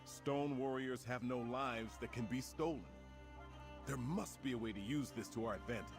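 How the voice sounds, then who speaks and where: A man's voice: mid-range voice, moderate pace, close to an everyday voice. A man voicing a confident, commanding warrior prince in a fantasy video game, speaking formally and rousingly. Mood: resolute. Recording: prominent music behind the voice. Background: game music.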